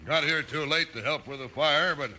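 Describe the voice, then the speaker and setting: An actor playing a hearty, businesslike frontier mill owner in a 1950s Western radio drama. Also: male voice, on the high side, normal speed, sharp tone. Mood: hearty, generous. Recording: faintly noisy old radio recording.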